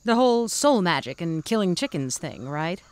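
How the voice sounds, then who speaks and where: Woman playing a senior lady fugitive, shrewd, folksy, somewhat low pitch, wry, comic audio drama. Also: sing-song, moderate pace, darkish tone, clear, resonant tone. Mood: shrewd, knowing. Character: shrewd, unflappable senior woman, fugitive retirement-home sleuth. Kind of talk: audio comedy drama acting.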